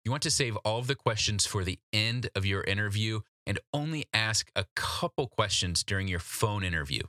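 A man's voice: fairly low pitch, lively intonation, moderate pace, sharp tone, no room sound, studio quality. A man hosting an instructional solo podcast, coaching the listener in a direct, practical, conversational way. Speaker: podcast host giving job interview advice.

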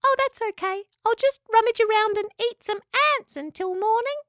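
A woman voicing a small, shy, polite female echidna in a storybook, with a squeaky voice. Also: moderate pace, fairly bright tone, no room sound, studio quality. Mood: polite, eager.

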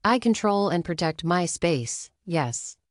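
Woman performing firm, curt female refusing to be bullied, slightly low pitch, dry close recording. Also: expressive, slow, dry recording. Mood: defiant. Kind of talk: dramatic reading of a confrontation.

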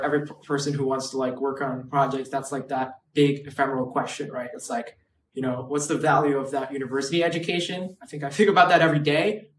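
A man's voice: mid-range voice, a bit bright, recorded from a distance, slight background noise. A man in his twenties from Hong Kong, a guest chatting casually on a podcast with lots of "like" and "right". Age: 20s. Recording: roomy echo, away from the mic.

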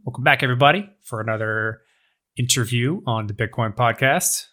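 A man hosting a podcast, introducing a guest and cutting in with quick technical corrections. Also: mid-range voice, sharp tone. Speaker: podcast host. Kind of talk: casual chat and interview intro.

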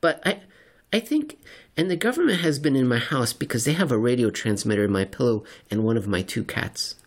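A man's voice: medium pitch, expressive, brisk. A man voicing a crazed, sleepless male conspiracy theorist in an audio story, rambling and earnestly paranoid. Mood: manic, earnest. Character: crazed sleepless conspiracy-obsessed male spaceship companion, manic.

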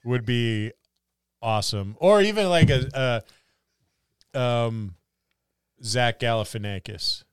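A man's voice: mid-range voice, animated, slow pace, pauses often, clear, resonant tone, no echo, studio quality. A man co-hosting a podcast, chatting casually about tabletop gaming in a rambling, musing way. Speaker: podcast co-host.

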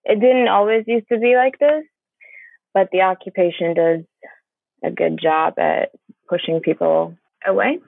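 A woman's voice: medium pitch, steady tone, gravelly, no echo. Hesitant woman from Palestine, interview guest, dry close sound. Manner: hesitant, halting, with many fillers and restarts. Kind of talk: conversational interview. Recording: tinny phone-like line.

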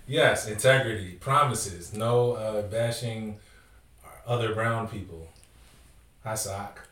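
A man's voice: on the low side, slow, fairly bright tone, roomy sound, far from the mic. A man co-hosting a casual podcast, laid-back and teasing, laughing often. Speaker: podcast co-host.

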